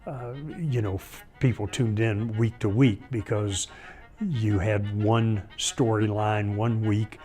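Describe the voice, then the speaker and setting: A man's voice: fairly low pitch, fairly even intonation, takes it slowly, warm tone, soft background music. A man being interviewed for a documentary, explaining things in a conversational way.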